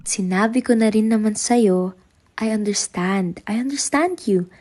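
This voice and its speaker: A playful young Filipino woman in love acted by a woman for a radio drama. She sounds chatty and teasing, with a mid-pitched voice. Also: unhurried, darkish tone, close to an everyday voice. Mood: affectionate. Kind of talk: amateur radio drama phone dialogue, Taglish.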